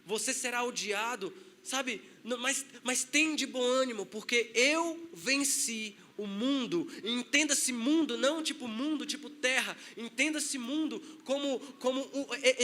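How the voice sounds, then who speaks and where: A man's voice: extremely high pitch, pushed and bright. A man with a Brazilian Portuguese accent, a pastor preaching a sermon in a conversational way, acting out quotes.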